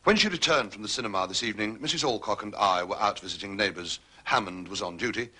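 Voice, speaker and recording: An obsequious butler in a period radio mystery. His voice is forceful yet slightly rough, and he talks briskly in formal, carefully evasive phrases, never losing his composure. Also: fairly low pitch, heavily acted. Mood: smoothly explanatory. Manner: formal, obsequious, measured hesitations. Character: smooth, deferential male butler, unflappable and evasive. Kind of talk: British period mystery drama acting.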